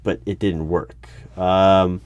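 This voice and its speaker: A deep male voice over keyboard clicks and background noise, a man acting out a roleplay. He is a secret agency's hiring manager, methodical and puzzled. He questions haltingly, carefully clarifying each point in an ASMR-style interview. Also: little pitch movement, a little mellow, dull audio. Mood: probing. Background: computer keyboard typing and clicks.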